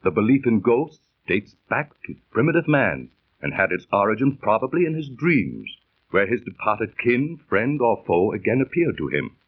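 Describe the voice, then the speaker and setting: A slightly muffled old radio recording features an earnest seafarer with a scientific bent. His mid-pitched voice tells the yarn in formal, dramatic phrases with lively inflection. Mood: earnest storytelling. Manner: formal, dramatic, deliberate diction. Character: earnest, scientific-minded seafaring storyteller, Mister Morton. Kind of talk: 1930s radio acting.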